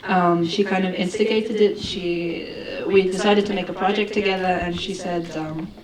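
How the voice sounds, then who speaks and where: A woman's voice: fairly low pitch, steady tone, moderate pace. A woman filmmaker answering questions at a festival Q&A, thoughtful and full of fillers and restarts. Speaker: film director. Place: festival hall with audience. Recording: roomy hall, far from the mic, crowd noise.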